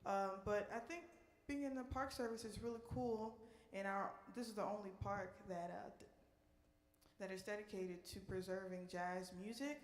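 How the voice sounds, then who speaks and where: A woman's voice: mid-range voice, steady tone, moderate pace. American lady hosting a live show q&a, casual talk, echoey room. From New Orleans, USA. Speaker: singer and park ranger hosting a concert Q&A. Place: indoor museum performance space.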